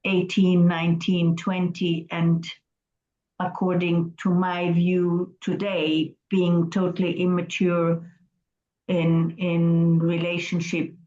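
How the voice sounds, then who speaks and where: A woman's voice: fairly low pitch, monotone, takes it slowly, warm tone. Hesitant woman on a roomy, dull video call. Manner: hesitant, reflective, restarts words. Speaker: class participant.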